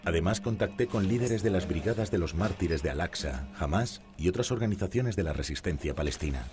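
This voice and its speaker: A man from narrating a documentary in calm, measured voice-over. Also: low voice. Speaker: undercover journalist narrator. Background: music bed.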